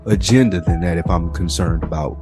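Low-voiced man, podcast co-host. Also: a little fast, over music. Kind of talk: casual conversational podcast discussion.

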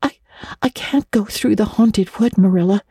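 A woman's voice: medium pitch, normal speed, dark tone, breathy edge, no room sound. A woman voicing an earnest, romantic young girl in a dramatic storybook reading, gushing and breathless, tripping over eager repetitions. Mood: anxious, reluctant. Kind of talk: dramatic audiobook reading of classic children's literature.

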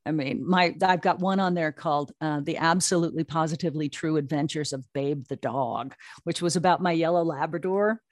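A woman talking casually as an interview guest, telling things in an animated storyteller way. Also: deep voice, fairly quick, hardly any pauses, no echo, clean studio sound. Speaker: interview guest, audio drama writer and producer.

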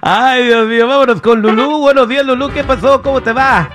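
A man's voice: very high-pitched, brisk, fairly bright tone, faint music behind. A man hosting a call-in radio show, joking with callers and laughing often.

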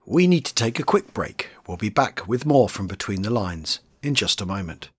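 A man's voice: lowish voice, big pitch swings, moderate pace. A man presenting and narrating a history programme in a scripted documentary style.

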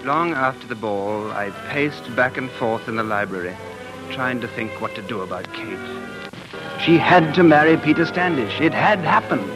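Anguished young gentleman, played by a radio actor, music underneath. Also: medium pitch, normal speed, lightly acted, low-quality recording. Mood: brooding. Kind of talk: 1940s radio acting.

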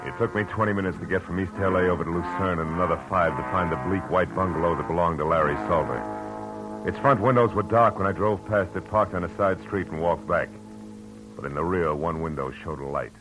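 An actor playing a tough, world-weary private detective in a 1940s radio drama, talking in clipped, hard lines. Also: male voice, sits low, a little fast, loud music behind the voice, natural voice, rough recording. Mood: narrating. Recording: old radio broadcast with faint hiss.

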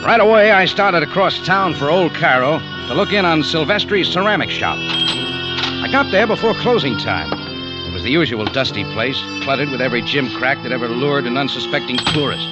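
An actor playing a hard-boiled cafe owner in a 1940s radio drama, narrating and talking in a clipped, wry way. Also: male voice, on the high side, animated, normal speed, over loud music, natural voice. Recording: dull old radio recording.

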